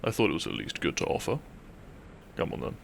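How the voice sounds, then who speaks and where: A man's voice: extremely low pitch, big pitch swings, fairly quick, gravelly, a little hiss or hum, full character voice. A man playing a depressed, dry-witted young man in an intimate audio roleplay, speaking with hesitant, awkward teasing to his best friend. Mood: shy, teasing.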